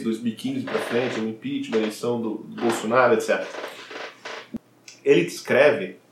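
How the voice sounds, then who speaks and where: A Brazilian man talking casually about a book, pausing and hunting for words with frequent tag questions. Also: medium pitch. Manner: searching for words, many fillers and tag questions. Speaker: commentator on a book. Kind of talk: casual chat. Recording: echoey room with faint noise.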